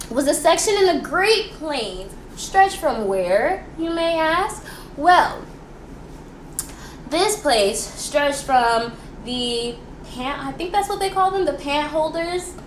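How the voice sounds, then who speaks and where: Young American woman, student history host. High voice, informal and playfully theatrical, echoey room with faint noise. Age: young adult. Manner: playful, theatrical, slangy, with rhetorical questions. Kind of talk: chatty, informal history presentation for a school project.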